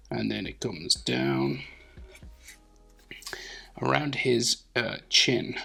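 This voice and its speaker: Gravelly man with a head cold. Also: medium pitch, lively intonation, extremely slow, long pauses, pushed and bright, soft background music. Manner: thinking aloud, hesitant, many fillers, drops to near whispers. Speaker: drawing tutor. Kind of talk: casual drawing commentary.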